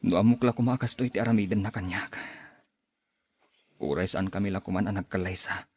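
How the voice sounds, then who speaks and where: Radio drama role: wronged young lover, male actor, Ilocano accent, low plaintive voice, brooding, dry studio sound. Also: brisk, darkish tone, breathy edge, no echo. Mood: sad resignation. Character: heartbroken young man wronged by his lover, self-pitying.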